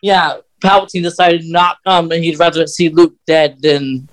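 A man co-hosting a podcast, explaining fan lore casually in a halting way with pauses mid-sentence. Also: a little high, steady tone, fairly bright tone. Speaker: podcast co-host.

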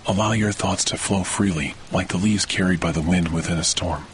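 A man narrating a slow, scripted guided sleep meditation in a calm, measured way. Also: deep voice, a little hiss or hum. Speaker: meditation podcast host. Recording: rainstorm and thunder under the voice. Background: steady rain and thunder.

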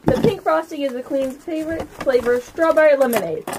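A woman's voice: high voice, brisk. A woman hosting a tabletop roleplaying podcast, narrating casually as game master. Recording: clearly noisy room recording with clicks.

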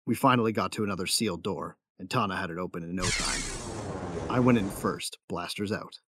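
A man's voice: deep voice, huge pitch swings, fairly quick, a bit bright, no echo, exaggerated voice. A man playing a tough young gunfighter and protective big brother in a sci-fi audio drama, matter-of-fact and wry. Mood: matter-of-fact, grim. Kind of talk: first-person narration and dialogue in sci-fi audio drama.

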